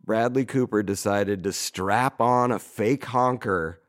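A hoarse man co-hosting a comedy podcast, chatting casually and joking wryly. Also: lowish voice, takes it slowly. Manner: wry, joking, animated. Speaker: podcast co-host.

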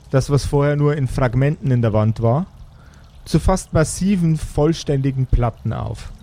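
A man narrating an improvised tabletop role-playing game as game master, descriptive and measured, pausing between phrases. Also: medium pitch, warm tone.

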